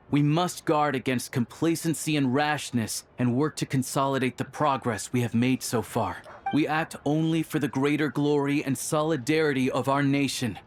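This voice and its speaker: A man playing a formal, solemn spokesman of a secret order, delivering a measured proclamation in a video game. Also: medium pitch, close to an everyday voice.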